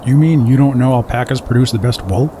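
A man's voice: mid-range voice, quick pace, dark tone, a little hiss or hum, close to an everyday voice. A man playing a streetwise former criminal turned mentor in an audio drama, dry and commanding.

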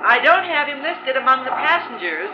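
A woman's voice: sits high, sing-song, moderate pace. A woman playing a polite, by-the-book airline stewardess in a 1950s radio drama. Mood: polite, firm. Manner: courteous, formal. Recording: dull noisy vintage radio, engine drone.

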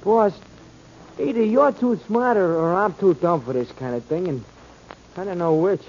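An actor playing a dim, loyal American crook's sidekick in a 1940s radio drama, slangy, ungrammatical and deferential. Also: male voice, sits high, animated, moderate pace, darkish tone. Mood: puzzled. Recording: noisy, muffled old radio recording.

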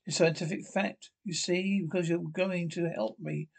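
A man's voice: high-pitched, steady tone, normal speed, gravelly. A man playing an eager, scornful albino inventor in a radio play, insistent and domineering. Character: eager, scornful albino inventor plotting with his invisibility serum. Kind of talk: radio play script read aloud.

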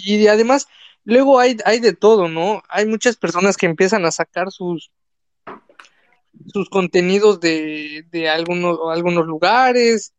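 A man's voice: high-pitched, dry recording, highs cut off. A young man from Mexico with a Mexican accent, a content creator chatting casually on a live stream, full of fillers and restarts. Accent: Mexican Spanish. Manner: rambling, many fillers and restarts.

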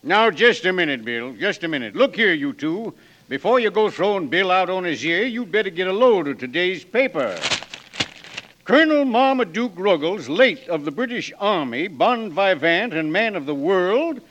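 A man's voice: sits high, very animated, normal speed, fairly bright tone. An actor playing a brash, folksy Western townsman in 1940s radio drama, with a declamatory way of talking. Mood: insistent. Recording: muffled vintage 1940s radio broadcast.

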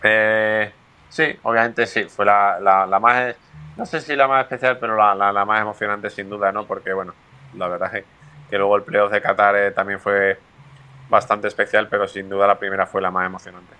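A man's voice: lowish voice, little pitch movement, normal speed, pushed and bright, smooth. A Spanish man, a professional golfer, answering interview questions in a hesitant way, with many fillers and restarts. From Spain.

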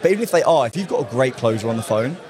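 A young English man talking casually and excitedly as a guest in an interview at a trade event, often laughing. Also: medium pitch, rapid-fire, faint music behind. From England. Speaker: guest, call center company owner. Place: busy conference floor. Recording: noisy crowded event hall. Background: crowd chatter.

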